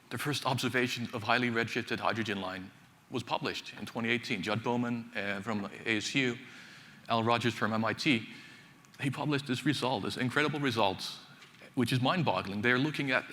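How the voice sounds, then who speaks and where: A man from Finland giving a technical conference talk in a large hall, speaking haltingly with many fillers and repeated words. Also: medium pitch, pushed and bright. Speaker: conference speaker, associate professor. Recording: reverberant hall, faint ambience.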